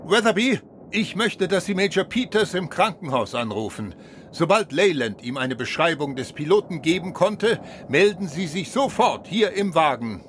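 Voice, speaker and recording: An actor playing a genteel, authoritative senior police chief in a radio crime play. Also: male voice, on the high side, big pitch swings, normal speed. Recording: loud vehicle noise behind.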